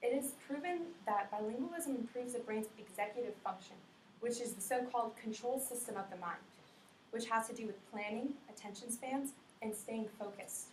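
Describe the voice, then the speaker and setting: A woman's voice: fairly high pitch, moderate pace. Young woman, student speech, earnest, self-correcting, roomy far-off classroom sound, faint hiss. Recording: roomy classroom audio from a distance with faint noise.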